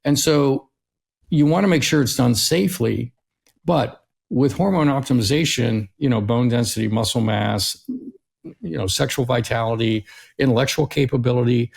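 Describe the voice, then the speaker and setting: Middle-aged doctor guy, super gravelly voice, steady explainer, keeps saying right?. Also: mid-range voice, normal speed. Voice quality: gravelly, husky. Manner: steady explainer who often tags "right?". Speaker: longevity physician guest. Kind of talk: conversational explaining.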